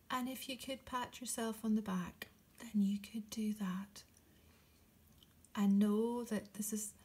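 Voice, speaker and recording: A woman leading a guided meditation in a soft, breathy, near-whispered voice, gently encouraging and soothing, with long pauses. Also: a little high.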